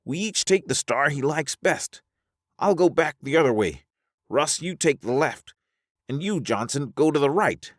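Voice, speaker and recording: A man voicing a weary, philosophical spaceman in a science fiction audiobook, folksy and excitable as he reasons aloud. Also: fairly high pitch, unhurried, no room sound, clean studio sound, voice pushed up. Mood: resolute. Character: high-voiced drawling spaceman, weary, resigned, philosophical castaway.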